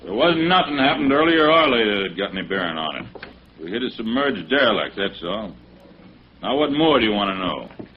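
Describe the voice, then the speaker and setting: Belligerent old-radio sea captain. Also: male voice, on the low side, very animated, brisk, slight background noise. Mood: curt, surly. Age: 50s. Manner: curt, defensive, rough uneducated grammar. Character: stubborn, belligerent sea captain of about fifty. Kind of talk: 1950s radio drama acting. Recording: dull vintage radio recording.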